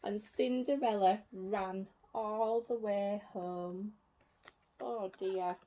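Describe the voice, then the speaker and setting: A woman reading a story aloud for young children as a teacher, in an animated way with simple repeated phrases and asides to her listeners. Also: medium pitch, drawn-out words, noisy background. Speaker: early years teacher.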